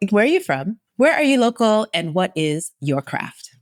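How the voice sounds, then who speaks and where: A woman's voice: medium pitch, normal speed, no room sound, studio quality. A woman hosting a podcast, reading a guest's bio and chatting casually, with lots of encouraging murmurs. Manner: lively, encouraging, frequent murmured affirmations. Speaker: podcast host. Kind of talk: casual interview chat.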